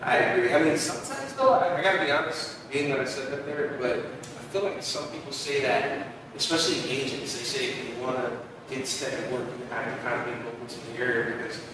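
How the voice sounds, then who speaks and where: A male podcast host asking questions in a laid-back, casual way. He sounds distant in a reverberant studio, with a long echo and steady background noise. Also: medium pitch, brisk. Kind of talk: casual interview chat. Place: podcast studio with graffiti wall. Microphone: headset mic seen, far camera. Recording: echoey room, distant, noisy.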